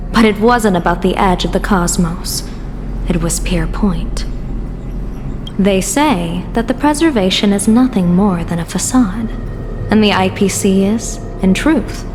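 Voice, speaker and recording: A woman's voice: mid-range voice, noisy background, close to an everyday voice. Composed, wry female general in a reverberant hall woman acting. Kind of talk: video game story dialogue. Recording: long hall reverb under clear music.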